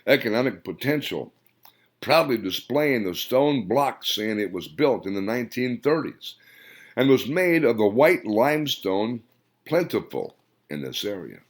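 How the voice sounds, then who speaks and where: A man narrating his own novel as an audiobook, with an expressive, dramatic reading. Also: medium pitch, unhurried. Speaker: author and narrator.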